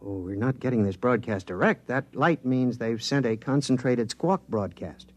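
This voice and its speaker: A man playing an excitable space-project technician in 1950s science fiction radio drama, talking in a conversational, explanatory way. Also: medium pitch, normal speed, close to an everyday voice. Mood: eager, explaining. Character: excitable male space-project technician, chummy, increasingly shaken.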